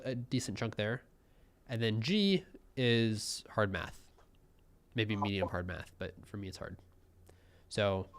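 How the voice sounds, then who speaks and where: A young man giving relaxed, musing live commentary on a programming contest, often trailing off mid-thought. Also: fairly low pitch.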